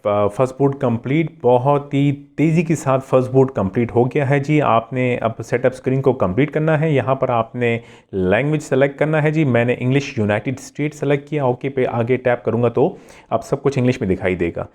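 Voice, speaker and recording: A man's voice: medium pitch, brisk, a little mellow. A man hosting a tech tutorial, narrating step by step in a polite, instructional way and repeating key steps.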